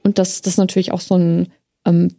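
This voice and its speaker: A woman's voice: on the low side, level tone, a little fast, vocal fry, dark tone, no room sound, clean studio sound. A woman who streams, talking casually and candidly as an interview guest, often searching for her words. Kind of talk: casual personal storytelling in an interview.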